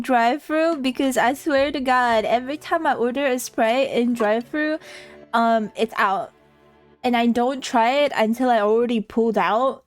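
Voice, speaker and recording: Female livestreamer, somewhat high pitch, loose self-deprecating chatter over soft background music. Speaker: live streamer. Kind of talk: casual livestream chat.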